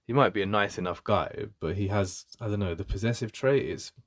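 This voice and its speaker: A man with an English accent playing a jealous, protective best friend in an intimate ASMR roleplay, speaking in a halting, conversational way with emphatic repetition. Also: lightly acted. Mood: apologetic, insistent. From England. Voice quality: deep.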